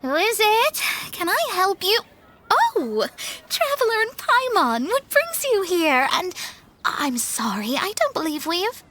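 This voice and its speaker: A woman voicing a proud, polite young female astrologist in a video game, refined and expressive. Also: extremely high pitch, takes it slowly, slight background noise, exaggerated voice. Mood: surprised, polite. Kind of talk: animated video game voice acting.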